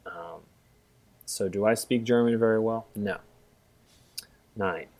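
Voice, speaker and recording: A man's voice: fairly low pitch, slow, a little mellow. A middle-aged man co-hosting a casual podcast discussion, rambling a bit with self-deprecating humor. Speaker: podcast co-host, music theory teacher.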